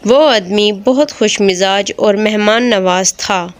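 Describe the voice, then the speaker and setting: Female narrator for moral storybook tales, medium pitch, scripted storytelling with music underneath. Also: slow. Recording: music behind the voice.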